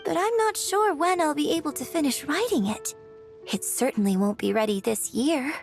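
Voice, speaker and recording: A woman's voice: sits high, lively intonation, normal speed, soft background music. A woman voicing a refined, courteous young artist in a video game, polite, graceful and articulate. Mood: gracious. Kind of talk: scripted video game dialogue acting.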